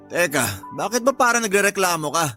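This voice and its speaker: A man's voice: high-pitched, sing-song, a little fast, bright, forceful tone, faint music behind, full character voice. A man playing a belligerent, boastful stepfather in a radio drama, with a Filipino accent and a confrontational, domineering way of talking. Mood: irritated, defensive. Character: belligerent, boastful live-in stepfather, quick to bully.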